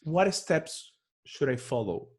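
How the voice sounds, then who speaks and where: Male podcast host, playful, mid-pitched casual questioner. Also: very animated, takes it slowly, husky. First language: Spanish. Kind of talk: casual interview.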